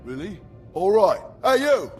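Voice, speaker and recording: An actor playing a brash, over-eager, slightly dim young acolyte in a video game, blunt and excitable. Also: male voice, high-pitched, a little slow, soft background music. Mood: eager, pushy.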